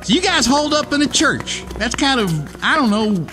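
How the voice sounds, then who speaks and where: An actor playing a goofy, cocky young redneck survivor with a Southern accent and a folksy, blunt manner in a comedic radio play. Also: male voice, extremely high pitch, big pitch swings, normal speed, over music. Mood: bantering. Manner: folksy drawl, blunt wisecracking. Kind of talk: comedic radio drama acting.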